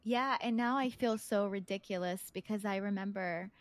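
A woman's voice: mid-range voice, moderate pace. A woman hosting a podcast interview, reflective and casual, often checking in with "right?". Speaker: podcast host.

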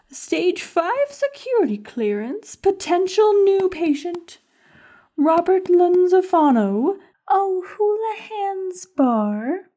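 A woman's voice: very high-pitched, warm tone, heavily acted. A woman playing a scheming, mock-sweet villain in an audio drama, theatrical and gloating, drifting into whispers. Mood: mocking, gleeful.

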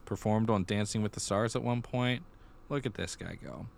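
Low-voiced man chatting casually. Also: normal speed, creaky, a little hiss or hum. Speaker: podcast co-host. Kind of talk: casual chat about musical theater and pop culture.

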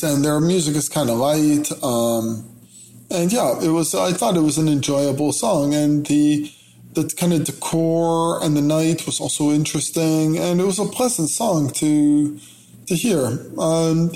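Guy guest on a podcast, kinda high voice, lots of ums, roomy echo. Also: mellow. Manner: hesitant, many fillers, measured. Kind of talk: casual chat. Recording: echoey room.

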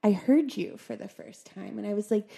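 A young woman with a squeaky voice, chatting on a podcast and telling stories with frequent giggles. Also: moderate pace, vocal fry, dark tone, breathy edge. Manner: giggly, chatty storyteller, laughs often. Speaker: podcast guest. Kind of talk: casual chat.

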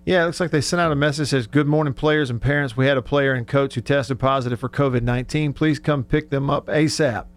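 A man's voice: medium pitch, steady tone, fast, few pauses. Man, sports radio host, casual chat, conversational, thinking aloud.

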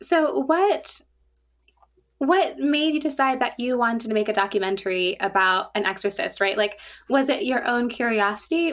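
Woman interviewer with medium pitch. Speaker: interviewer, host. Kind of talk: casual conversational interview.

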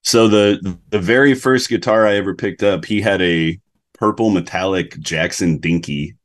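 A young adult man from Alaska, a metal guitarist chatting as a podcast guest in a relaxed, rambling way. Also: sits low, normal speed. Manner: laid-back, rambling, many "like"s. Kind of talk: casual interview chat.

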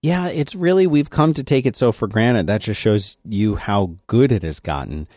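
A man's voice: lowish voice, lively intonation, normal speed, a little mellow, no echo, studio quality. A man co-hosting a podcast, chatting casually in an agreeable, emphatic way. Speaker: podcast co-host. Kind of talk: casual chat.

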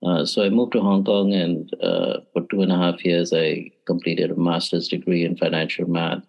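A man's voice: sits low, flat delivery, moderate pace, creaky, lo-fi sound. A middle-aged man from India, a math education professor, giving thoughtful interview answers with frequent "uh" and "you know".

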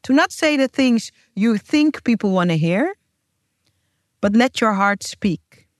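A woman's voice: fairly high pitch, lively intonation, takes it slowly, dry recording. Woman, earnest and emphatic podcast host, dry close sound. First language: Dutch. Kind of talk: scripted podcast intro and casual chat.

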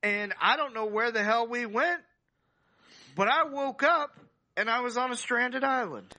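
A man's voice: very high voice, a little slow, bright, forceful tone. A man co-hosting a podcast, telling comic stories casually in rambling, sweary slacker talk. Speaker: podcast co-host. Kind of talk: casual comic storytelling with friends.

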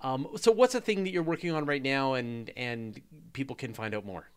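A man with a Canadian accent hosting a live space podcast, relaxed and reading out viewer questions. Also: mid-range voice, normal speed, clear, resonant tone. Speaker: podcast host. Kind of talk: casual live Q&A chat.

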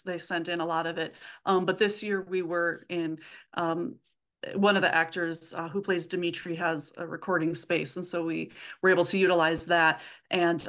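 A woman's voice: on the low side, fairly bright tone. A woman chatting informally and casually as a guest being interviewed. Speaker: podcast creator, interview guest.

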